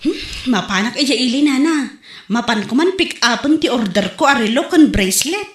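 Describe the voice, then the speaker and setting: A woman's voice: on the high side, normal speed, echoey room, soft background music, close to an everyday voice. Woman acting a chatty Ilocano village wife. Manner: animated, laughs while bargaining. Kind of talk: comedy drama acting.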